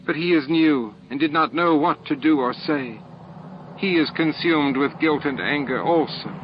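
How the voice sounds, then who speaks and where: Man voicing an anxious young female alien, pitched up. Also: moderate pace, background noise, lightly acted. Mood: guilty, pleading. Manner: earnest, anxious, hesitant. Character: young female alien scientist, earnest, troubled, dutiful. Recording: dull lo-fi audio with music.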